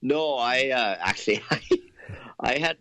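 A man's voice: mid-range voice, a little slow, sharp tone, dry recording. A man from Canada, a guest in a casual interview, upbeat and chatty with plenty of fillers and laughter.

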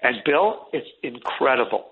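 A man's voice: mid-range voice, animated, unhurried, slightly rough. A middle-aged man speaking as an interview guest, earnest and rambling, restarting his sentences often. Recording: tinny phone line.

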